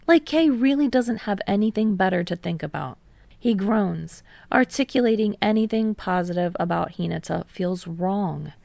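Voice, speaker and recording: A woman's voice: mid-range voice, vocal fry, a little mellow, no room sound. A woman reading scripted fiction as an audiobook narrator.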